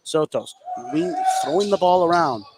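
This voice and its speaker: A man calls a basketball game live as the play-by-play commentator. His voice sits a bit high and gets excitable on big plays. The recording has clear noise behind him. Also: moderate pace. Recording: clearly noisy live sports broadcast.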